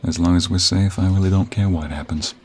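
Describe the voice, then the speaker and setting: Man acting very husky, earnest young husband. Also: deep voice, fairly even intonation, fairly quick, a little mellow. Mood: protective. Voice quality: breathy, husky. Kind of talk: ASMR audio roleplay acting.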